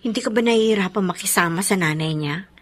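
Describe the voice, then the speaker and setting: An actress playing an expressive, nosy young best friend with a Filipino accent, exclamatory and mixing in English, in a radio drama. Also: female voice, mid-range voice, fast talker, natural voice. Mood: curious.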